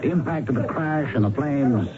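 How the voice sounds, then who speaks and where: An American actor playing a businesslike, dryly hard-boiled police investigator in an old-time radio drama. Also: male voice, mid-range voice, moderate pace, a loud crowd, natural voice. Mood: grim narrating. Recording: dull, very noisy old radio recording.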